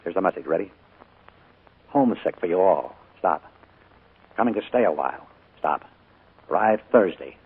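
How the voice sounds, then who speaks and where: A soft-spoken, menacing man in hiding, his very deep voice husky and lively in pitch. It sounds like a thin, tinny 1940s radio broadcast. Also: moderate pace, long pauses, exaggerated voice. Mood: brisk. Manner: quiet, smooth, with a menacing edge. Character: calm, sinister middle-aged man hiding from pursuers.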